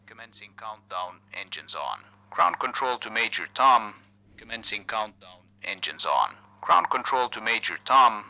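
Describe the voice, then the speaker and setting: Lowish sharp male voice doing a scripted countdown call over a crunchy walkie talkie radio effect. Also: lively intonation, a little slow. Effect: walkie-talkie radio filter with distortion.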